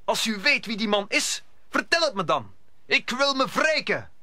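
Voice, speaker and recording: Radio play: proud young duelist, high, piercingly bright, impulsive. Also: male voice, very animated, normal speed, no room sound, clean studio sound, full character voice. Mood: agitated, vengeful. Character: hot-headed, proud young male swordsman newly arrived in Paris. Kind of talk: audio drama acting.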